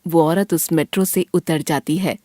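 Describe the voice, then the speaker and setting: A woman's voice: low voice, fairly even intonation, normal speed, warm tone, slight background noise. A woman narrating a scripted horror story as a dramatic storyteller.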